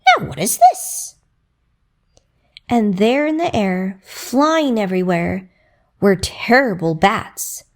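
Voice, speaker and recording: A woman storyteller reads a bedtime tale for children. Her voice is fairly high and swings widely in pitch. She takes her time, with a rhythmic delivery and dramatic emphasis.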